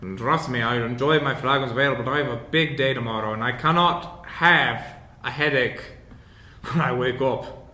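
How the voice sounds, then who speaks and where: A man's voice: mid-range voice, normal speed, pushed and bright, close to an everyday voice. A man playing a polite, chatty, hesitant dwarf inventor in a tabletop game. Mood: polite, apologetic. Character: awkward, polite dwarf inventor, grieving his father. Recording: roomy echo, clear background noise.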